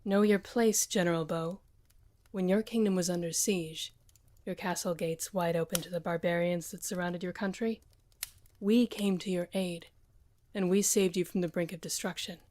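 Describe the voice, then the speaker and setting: A woman playing a loyal, commanding senior general in a fantasy audio roleplay, speaking with firm, measured authority. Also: lowish voice, steady tone, a little mellow, a little hiss or hum, close to an everyday voice. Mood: stern.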